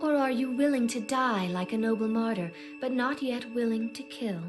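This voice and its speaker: A woman plays a mysterious, self-possessed young woman in an anime audio drama. Her voice sits a little high, with cool, dry teasing in every phrase. Music is clearly heard behind her. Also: normal speed, close to an everyday voice. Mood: grave, challenging.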